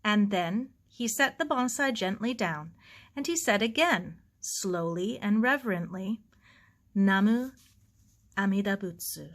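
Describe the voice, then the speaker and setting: A woman's voice: mid-range voice, lively intonation, a little slow, fairly bright tone. A woman reading a story aloud as scripted audiobook narration. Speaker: audiobook reader.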